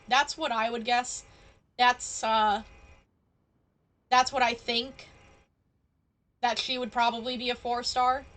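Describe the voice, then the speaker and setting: A woman's voice: a little high, bright, forceful tone. A woman game streamer giving casual live commentary, musing and thinking aloud as she goes.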